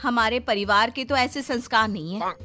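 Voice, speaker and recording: Lady doing a gossipy judgy housewife, high voice, so scandalised, music behind, cartoon. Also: normal speed, bright, forceful tone. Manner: disapproving, exclaiming. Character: judgmental, gossipy housewife, nosy and easily scandalised. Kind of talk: Hindi cartoon story dubbing.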